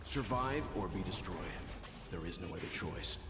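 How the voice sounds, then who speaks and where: A man's voice: low-pitched, animated, moderate pace, noisy background. A man voicing a stern, grim male warrior who declaims battle cries. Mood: commanding. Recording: loud game music and gunfire behind.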